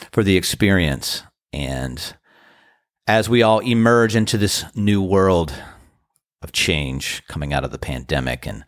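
A man in his fifties talks in a very low, dry voice, close and free of room sound. He rambles warmly through a reflective podcast monologue, his voice still tired and deep after a show. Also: no echo. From Washington DC area. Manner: earnest, rambling, grateful storytelling. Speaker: podcast host and band musician.

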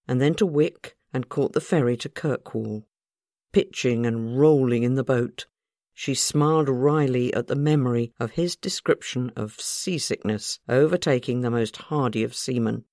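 A woman reading literary fiction aloud as a scripted narration, in a measured, careful storytelling manner. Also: very deep voice, a little mellow, no echo, clean studio sound. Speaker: author reading own short story.

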